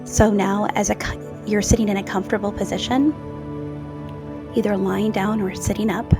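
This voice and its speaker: Soft-spoken woman guiding meditation over music. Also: medium pitch, flat delivery. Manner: slow, soothing, soft-spoken with gentle pauses. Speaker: meditation guide. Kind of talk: guided meditation. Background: new-age music.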